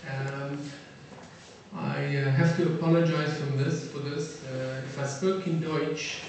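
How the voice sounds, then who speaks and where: A man's voice: a little high, steady tone, a little mellow, far from the mic. Middle-aged man from South Africa lecturing an audience, hesitant with many fillers, in a reverberant hall with faint noise. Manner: halting, many fillers and restarts. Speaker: defense attaché giving a lecture.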